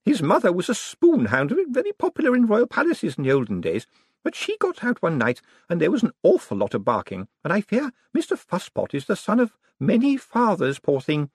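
A man voicing a feisty, blunt old woman who is gleeful and mildly cruel, with a high, bossy delivery. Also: huge pitch swings, fairly quick, breathy edge, dry recording, clean studio sound, exaggerated voice. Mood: cheerful.

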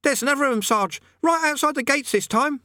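A man voicing an excited young soldier who blurts out urgent news. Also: very high-pitched, fairly quick, no echo, clean studio sound, voice pushed up. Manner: urgent, yelling. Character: excited young male soldier, breathless messenger.

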